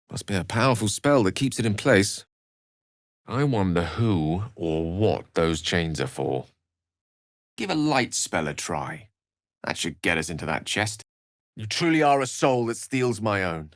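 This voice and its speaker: A man's voice: sits low, big pitch swings, unhurried, dry recording, clean studio sound. A man playing an erudite, courteous and wry wizard in a video game, speaking in eloquent, theatrical sentences. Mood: musing, then tender, courtly. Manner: eloquent, articulate, theatrical, wordy. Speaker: video game character voice actor.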